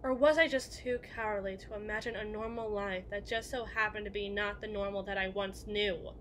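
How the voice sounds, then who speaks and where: A woman's voice: mid-range voice, fairly even intonation, brisk, slight background noise, close to an everyday voice. A woman playing a melancholy, guilt-ridden evil queen in an ASMR roleplay monologue, speaking in formal, introspective phrases to someone she loves. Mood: bitter, self-loathing.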